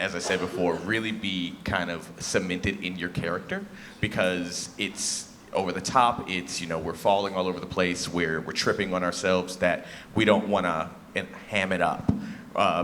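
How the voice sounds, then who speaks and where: A man's voice: low-pitched, fairly bright tone. A man acting as a theatre judge gives informal, encouraging critique to young performers in an emphatic, conversational way. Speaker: theatre festival judge, former actor. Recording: reverberant hall, faint noise.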